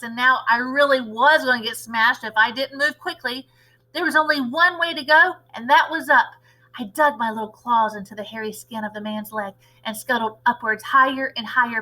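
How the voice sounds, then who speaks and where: A woman's voice: on the high side, pushed and bright, low-quality recording. A woman teacher narrating a children's storybook aloud, expressively and with dramatic emphasis.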